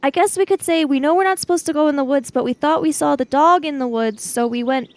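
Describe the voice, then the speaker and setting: A woman's voice: high-pitched, fast talker, dry recording. A woman playing a sensible kid in improvised tabletop roleplay, reasoning aloud in a questioning, matter-of-fact way. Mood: organising.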